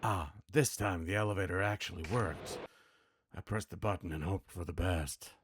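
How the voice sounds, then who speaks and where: A man voicing a brooding, world-weary hard-boiled detective from a noir video game, with a grim, deliberate delivery. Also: low voice, background noise, natural voice.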